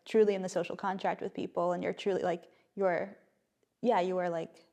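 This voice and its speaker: Soft-spoken woman with vocal fry. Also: fairly low pitch, level tone, brisk. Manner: hesitant and reflective, many fillers and restarts. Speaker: playwright guest. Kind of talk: casual podcast conversation.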